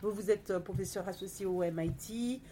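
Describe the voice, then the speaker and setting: A woman's voice: medium pitch, brisk, warm tone, a little hiss or hum. A woman moderating a panel, introducing a guest and asking him a question with some fillers and restarts.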